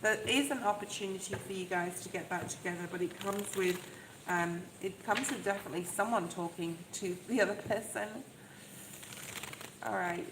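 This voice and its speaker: A soft-voiced woman giving a live tarot reading, talking in a chatty, reassuring way and often checking in with "okay?". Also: mid-range voice, fairly even intonation, normal speed. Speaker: tarot reader. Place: outdoors in the rain. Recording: echoey, with loud rain noise. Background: rain noise.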